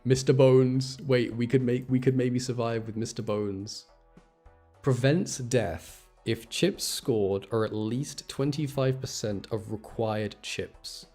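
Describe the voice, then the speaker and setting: A man's voice: medium pitch, fairly even intonation, takes it slowly, dark tone, soft background music. A man giving casual, chatty live game commentary, thinking aloud and correcting himself with mild exasperation. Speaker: game streamer.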